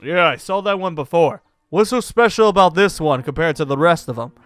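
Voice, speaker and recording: A man's voice: on the high side, animated, brisk, fairly bright tone, no echo. A man playing a laid-back, practical gunslinger in a Minecraft roleplay, talking in a casual, matter-of-fact way. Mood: wry. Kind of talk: improvised Minecraft fantasy roleplay acting.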